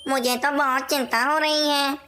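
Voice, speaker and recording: A woman's voice: high-pitched, fairly even intonation, moderate pace, sharp tone, slight background noise. A woman voicing an anxious, indignant father parrot who rules as king in a cartoon story. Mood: worried. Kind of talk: cartoon moral-story dialogue.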